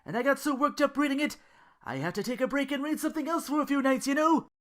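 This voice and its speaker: A podcaster as an eager, high-voiced young sidekick man. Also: fast talker. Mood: eager, hopeful. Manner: deferential, excitable, wide-eyed. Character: earnest, whiny, devoted young man sidekick, naive and eager.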